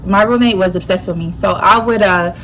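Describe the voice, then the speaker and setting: A young woman with a slightly stuffy nose, telling a personal story in a casual, animated way full of slang. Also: mid-range voice, fairly quick, slight background noise, poor audio. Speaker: YouTuber.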